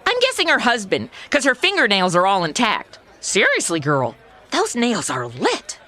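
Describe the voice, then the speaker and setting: A woman's voice: mid-range voice, wildly swooping pitch, normal speed, pushed and bright. An actress playing a sassy, flippant modern woman in a comedy audio drama, sarcastic, chatty and full of complaints. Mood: catty, flippant. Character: sassy, flippant modern woman stuck in 1950s noir Cuba.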